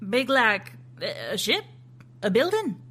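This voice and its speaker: An actress playing a composed, sharp-witted female agent in an audio drama, asking direct, dry questions in a slightly raspy voice. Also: on the high side, sing-song, slow, full character voice. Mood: curious.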